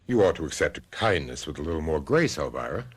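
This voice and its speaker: Deep-voiced, suave, wry husband. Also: male voice, big pitch swings, fast, breathy edge, full character voice. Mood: chiding. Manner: wry, urbane. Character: suave, dryly teasing husband and story narrator, grown man. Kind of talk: old-time radio drama acting and narration.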